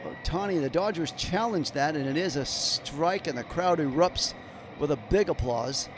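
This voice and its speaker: High-voiced man calling baseball play-by-play. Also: normal speed, background noise. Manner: describes each pitch as it happens. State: slightly hoarse, clearing throat. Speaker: play-by-play commentator. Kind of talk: live play-by-play commentary.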